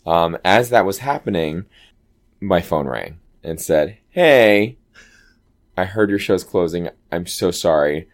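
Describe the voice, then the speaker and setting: A man with a low voice, an actor telling stories in a casual interview and acting out quoted lines. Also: slow pace. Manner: animated, chatty, acts out quoted dialogue. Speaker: Broadway actor guest.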